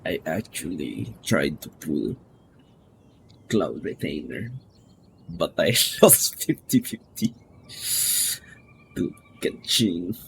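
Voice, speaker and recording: A woman streaming a game live, giving casual, offhand and halting commentary. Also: a bass voice, wildly swooping pitch, gravelly, slight background noise, low-quality recording. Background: game music.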